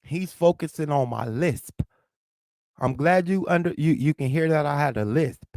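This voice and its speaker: Man with a lisp, gravelly and combative. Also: a little high, expressive, moderate pace, darkish tone, no echo, clean studio sound. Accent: St. Louis, American South Midwest. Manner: confrontational, profane, addresses chat commenters directly. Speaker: livestream host. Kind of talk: heated livestream rant, answering chat.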